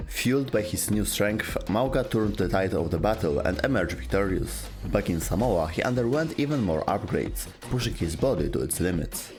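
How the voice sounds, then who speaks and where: A man's voice: lowish voice, expressive, moderate pace, hardly any pauses, music in the background. A man reading a scripted explainer narration as the narrator of a video game lore show.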